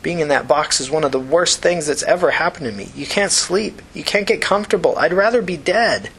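A man voicing a tired, anxious but defiant young woman, with an urgent, halting manner. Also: mid-range voice, animated, fairly bright tone, slight background noise, voice pushed up. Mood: panicked, begging.

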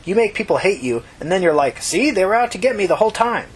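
Lady doing a blunt young street friend in an audiobook, casual, raspy, lively pitch. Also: fast. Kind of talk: audiobook character dialogue.

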